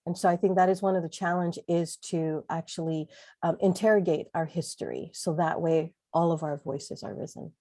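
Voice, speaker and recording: A woman's voice: lowish voice, fairly even intonation, moderate pace, mellow, no echo, studio quality. A woman from Hawaii with a Pidgin accent, speaking earnestly as a panelist in a community discussion. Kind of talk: panel discussion over video call.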